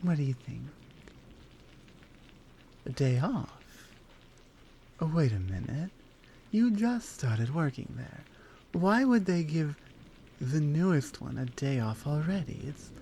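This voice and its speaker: A woman voicing a flirty, confident lioness in an intimate ASMR roleplay, breathy and unhurried, drifting into whispers. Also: low-pitched, mellow, slight background noise, full character voice. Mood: flirty, relaxed, then curious, teasing.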